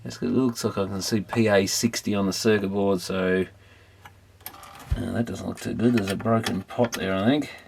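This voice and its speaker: Low-voiced Australian man over noisy background. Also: fairly even intonation, moderate pace, a little mellow. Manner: offhand, musing to himself while working. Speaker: electronics repair hobbyist. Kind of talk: casual rambling workbench commentary. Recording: clearly noisy recording with clicks.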